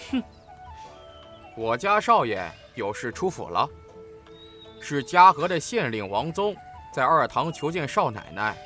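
Man acting household servant, huffy, curt, forceful bright tone, unhurried, costume audio drama. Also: a little high, sing-song, soft background music, heavily acted. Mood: grumpy. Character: grumpy, bad-tempered male household servant.

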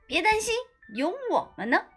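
A proud little wildcat from a children's story, played by a woman, eager to reassure friends. The voice is raised and bright, with a boastful, emphatic swagger. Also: extremely high pitch, very animated, normal speed, soft background music, pitched above the performer's own voice, exaggerated voice. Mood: proud, confident.

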